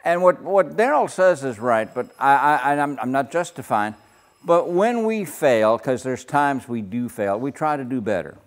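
An older man with a naturally loud voice, leading a Bible study group discussion in a casual, talkative way. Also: medium pitch, moderate pace. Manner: loud, gets louder when excited.

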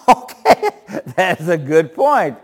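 A man with a loud voice leading a group Bible study, often chuckling and putting questions to the group. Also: high voice, sing-song, slow.

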